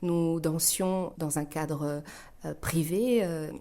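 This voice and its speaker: Woman from northern Iran, low register, interview guest, thoughtful and hesitant with frequent searching pauses. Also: fairly even intonation, warm tone. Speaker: interview guest, dancer and choreographer. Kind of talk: reflective interview answers.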